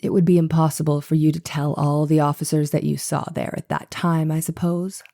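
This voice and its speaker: A woman playing a male defense attorney in a courtroom drama reading, questioning in a formal, deliberate, insistent and precise way. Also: low voice, flat delivery, dark tone. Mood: methodical, probing. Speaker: voice actor reading a trial transcript.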